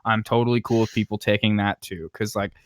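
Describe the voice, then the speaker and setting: A man's voice: lowish voice, steady tone, a little fast, dry recording. A man live streaming and chatting casually in an informal, rambling manner. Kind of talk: casual chat.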